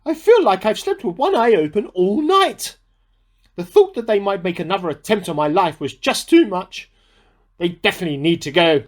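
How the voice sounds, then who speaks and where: A man's voice: sits high, expressive, moderate pace. A man playing an agitated, paranoid amateur scientist in a radio play diary, with melodramatic delivery. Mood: weary, resolved. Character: paranoid male amateur scientist at war with hamsters.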